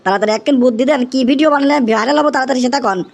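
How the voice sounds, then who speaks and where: Man acting high-pitched, whiny young cartoon schemer. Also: racing, creaky. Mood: pleading. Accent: Bangladeshi. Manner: whiny, pleading, repeats himself emphatically. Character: young bachelor desperate for viral fame, excitable schemer. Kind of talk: exaggerated comic cartoon acting.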